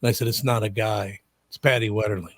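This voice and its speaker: An older man hosting a radio talk show, emotional and tearing up, his voice going higher when moved. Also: steady tone, quick pace, no echo. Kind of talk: casual radio chat.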